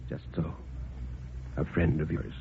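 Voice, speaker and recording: A man playing a quiet, respectful and secretive messenger, speaking in measured, evasive phrases in a 1950s radio horror play. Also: rumbling low, level tone, creaky, a little hiss or hum, voice pushed down, heavily acted. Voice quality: quiet, hushed. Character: quiet, secretive, clerkish male messenger with unsettling reserve. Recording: tinny, narrow-band 1950s radio recording. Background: dramatic background music.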